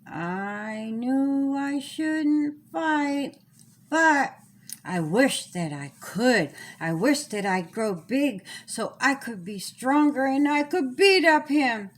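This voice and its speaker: A woman's voice: high-pitched, animated, slow pace. A woman voicing a small, earnest storybook child who reasons plainly with others. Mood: wistful.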